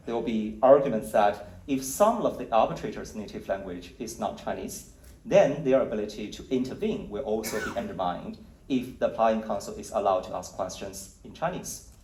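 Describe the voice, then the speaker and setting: A man giving a conference talk in a low voice, walking his listeners through findings in a measured, explanatory way with occasional fillers. He is picked up from some distance, and the sound rings around a large, roomy hall. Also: darkish tone. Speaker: conference speaker presenting survey results. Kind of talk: conference presentation.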